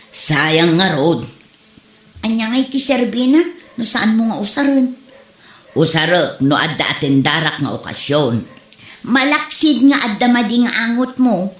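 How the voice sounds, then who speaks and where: A man's voice: extremely high pitch, a bit bright, full character voice. A man playing a stingy, nagging wife and mother who complains and scolds, in an amateur comedy drama. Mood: fussing. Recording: roomy, echoing room sound.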